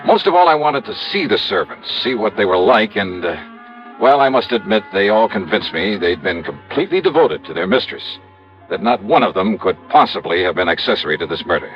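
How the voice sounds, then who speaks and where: Shrewd American private investigator, low animated voice, clipped probing delivery, old radio detective drama, dull lo-fi sound. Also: male voice, fairly quick, soft background music. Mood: reflective narration. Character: shrewd, brisk male freelance insurance investigator, narrating his case. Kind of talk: 1950s radio detective acting.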